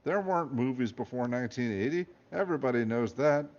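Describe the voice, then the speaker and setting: A man's voice: medium pitch, animated, a little fast, darkish tone, dull audio, natural voice. A man doing a know-it-all teenage boy.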